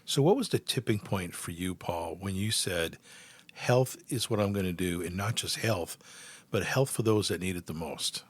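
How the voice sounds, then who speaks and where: A man's voice: low voice, normal speed, breathy edge. A man co-hosting a podcast, asking casual, meandering questions. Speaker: podcast co-host.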